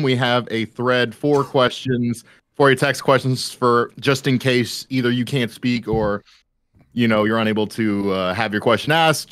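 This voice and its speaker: Smooth-voiced man hosting a casual panel. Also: mid-range voice, fairly bright tone, no echo. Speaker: panel moderator and cast member.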